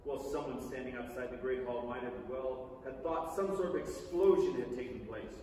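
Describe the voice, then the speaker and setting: A middle-aged man giving a dramatic staged reading of a children's novel, with emphatic delivery and long pauses. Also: medium pitch, warm tone. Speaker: stage reader. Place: large theatre stage, indoors. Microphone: far camera in the audience. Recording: echoey theatre hall, distant mic, noisy.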